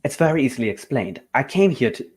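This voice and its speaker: A man's voice: medium pitch, big pitch swings, fast talker, heavy background noise, close to an everyday voice. A man playing a shrewd, coolly confident detective in an amateur radio mystery play. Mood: cool, dismissive.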